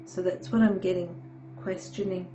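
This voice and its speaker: A woman giving a tarot reading, hoarse from a sore throat, talking haltingly with many fillers and frequent "okay"s. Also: lowish voice, fairly even intonation, dark tone, slight background noise, low-quality recording. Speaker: tarot reader.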